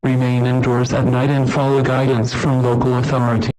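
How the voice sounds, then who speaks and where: A male announcer with a mid-range voice reads an emergency alert. His delivery is formal and measured, with an impersonal tone suited to an official scripted broadcast. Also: mid-range voice, monotone, darkish tone, poor audio.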